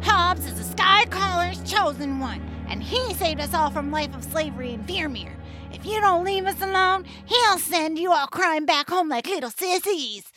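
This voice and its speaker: A woman's voice: very high voice, lively intonation, normal speed, sharp tone, faint music behind, exaggerated voice. An actress playing a brash, loyal, teasing young female adventurer in a fantasy audio drama. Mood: indignant. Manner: brash, plucky, slangy.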